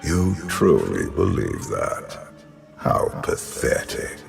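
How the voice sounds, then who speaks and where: Evil immortal emperor from a video game, super low voice, pompous n condescending, swings up and down a lot, music behind. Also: male voice, gravelly, exaggerated voice. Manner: slow, deliberate, pompous, condescending. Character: ancient, menacing immortal emperor, imperious and contemptuous father. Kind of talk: theatrical video game villain acting.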